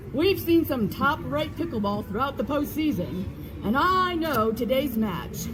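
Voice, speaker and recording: A woman acting an eager, earnest sportscaster in a stage parody. The voice is high and very animated, with clear noise in the live recording. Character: earnest, upbeat male sports commentator Jim.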